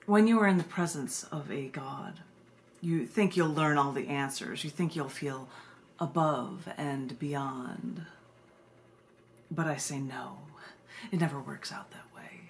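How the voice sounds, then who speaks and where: A woman from the United States speaking as a poet, moving between informal, excitable introductions and deliberate, dramatic readings of her own verse. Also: low-pitched, creaky, a little hiss or hum.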